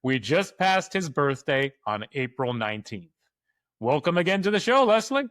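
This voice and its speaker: A man hosting a sports podcast, reading announcements and introducing a guest in an upbeat, smooth way. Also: on the high side, sing-song, normal speed, fairly bright tone, no room sound, clean studio sound. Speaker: podcast host. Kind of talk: scripted podcast announcements and interview.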